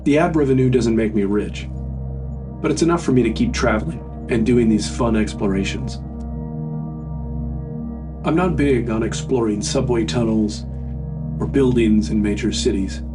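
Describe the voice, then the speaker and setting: A man's voice: medium pitch, moderate pace, pauses often, recorded from a distance. A man reading a scripted first-person horror story as a narrator. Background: music.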